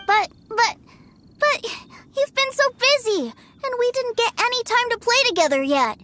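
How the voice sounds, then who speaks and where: A woman voicing an excitable, stubborn little boy in a video game. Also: very high-pitched, normal speed, a little hiss or hum, exaggerated voice. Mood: eager. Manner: excited, pleading.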